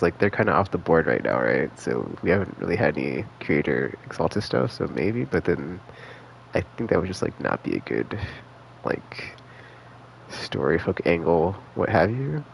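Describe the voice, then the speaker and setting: Low, gravelly man rambling on a game stream. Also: a little hiss or hum, poor audio. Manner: rambling, hesitant, slangy, thinking aloud. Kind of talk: casual live stream commentary.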